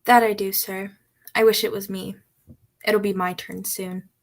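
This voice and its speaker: A woman's voice: medium pitch, little pitch movement, unhurried, vocal fry. A woman playing a meek, sorrowful young servant at an inn in an old-time radio drama. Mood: sad, meek. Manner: meek, mournful.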